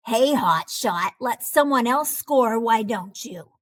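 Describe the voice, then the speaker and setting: A woman's voice: fairly high pitch, animated, takes it slowly. A woman voicing a young boy fish in a storybook, a blunt best friend who gets exasperated. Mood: cranky.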